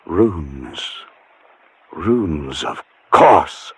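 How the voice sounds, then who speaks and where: An actor playing a haunted, fearful man in a radio thriller, speaking in a tense, deliberate, theatrical manner. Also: a bass voice, very animated, gravelly, slightly rough, dry recording, heavily acted. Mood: grave, intent. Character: haunted, fearful middle-class man narrating his own terror. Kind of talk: mid-century radio thriller acting, dramatic first-person narration. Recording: vintage radio recording, slightly muffled voice.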